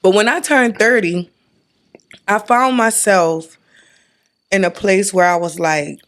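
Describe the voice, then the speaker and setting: This is a woman in her thirties guest-hosting a casual podcast conversation. Her voice sits at a middle pitch, and she talks in an animated, slangy and emphatic way. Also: slow pace. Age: 30s. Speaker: guest host. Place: indoor podcast set, drinking.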